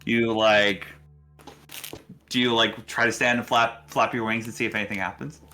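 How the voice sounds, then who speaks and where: A man narrating a tabletop game casually as game master, halting and thinking aloud as he goes. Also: lowish voice, little pitch movement, sharp tone, soft background music.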